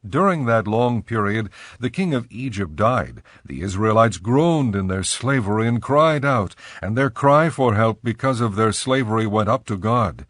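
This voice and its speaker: A man narrating a dramatized scripture reading as a measured storyteller. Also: fairly low pitch, lively intonation, no echo, studio quality.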